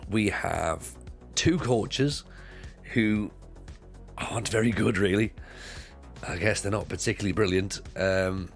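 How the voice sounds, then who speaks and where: A British man with a croaky voice, chatting casually to viewers on a live stream while playing a football management game, rambling and repeating himself. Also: deep voice. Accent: British English.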